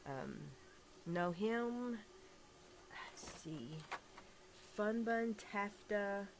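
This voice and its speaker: A woman with a raspy voice from a recent illness, chatting casually and rambling enthusiastically while reviewing toys at a table at home. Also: mid-range voice, animated, drawn-out words, slight background noise. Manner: rambling, enthusiastic, many fillers and restarts. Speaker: toy collector vlogger. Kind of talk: casual toy review to camera. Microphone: camera filming hands and toys, speaker off screen.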